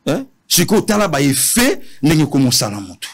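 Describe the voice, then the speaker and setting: A man with a Congolese accent and a somewhat high voice debates politics in an animated way. He is emphatic, repeats his phrases for weight and slips between languages as he goes. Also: moderate pace.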